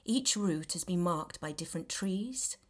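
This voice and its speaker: A woman reading a novel extract aloud in an expressive, theatrical and measured storytelling style. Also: lowish voice. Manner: theatrical, measured storytelling with dramatic emphasis and hushed dips. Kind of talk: expressive scripted audiobook narration.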